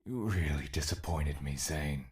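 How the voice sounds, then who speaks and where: A very low, deep-voiced spirit that needles and mocks the man it is trapped inside, as an actor plays it. Its tone stays cold and sarcastic in this audio drama, with a slow creeping scorn behind each jab. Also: darkish tone. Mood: taunting.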